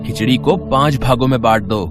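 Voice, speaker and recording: A man voicing a cheerful, kindly young child god in a devotional animated story. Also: mid-range voice, brisk, over music, natural voice. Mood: benevolent.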